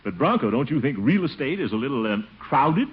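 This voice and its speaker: An actor playing a pompous, fussy middle-aged uncle and boss in American old-time radio comedy, blustery and hemming, with an American accent. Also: male voice, mid-range voice, sing-song, brisk, lo-fi sound, natural voice. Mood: doubtful. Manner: blustery, hemming, with chuckles and muttered asides. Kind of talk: 1940s-50s American radio comedy acting.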